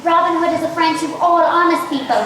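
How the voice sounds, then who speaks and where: A woman's voice: very high-pitched, fairly even intonation, fast, noisy background. A woman playing a spirited, principled young pantomime heroine, declaiming earnestly on stage. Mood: admiring. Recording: echoey theatre, distant mic, music.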